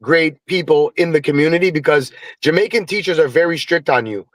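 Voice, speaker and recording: A Trinidadian man tells stories with easy Caribbean turns of phrase. His voice sits a little high and sounds dry and close, with no room around it. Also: a little fast, no echo. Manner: animated storyteller with Caribbean phrasing. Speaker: guest musician. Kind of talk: casual storytelling interview.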